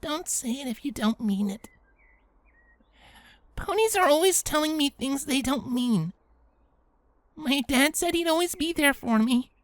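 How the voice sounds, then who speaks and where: A woman's voice: sits high, expressive, unhurried, pauses often, no echo. A woman voicing a scared, defiant young pony filly with pleading, emphatic delivery in an acted audio drama. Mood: hurt, tearful.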